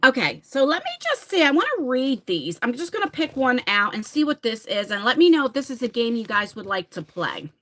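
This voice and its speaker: Chatty bright-voiced woman in her forties. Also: fairly high pitch, big pitch swings, fairly quick, few pauses. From New Jersey, USA. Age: 40s. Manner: chatty, laughs often, talks straight to viewers by name. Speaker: livestream host. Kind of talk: casual live-stream chat reading viewer comments.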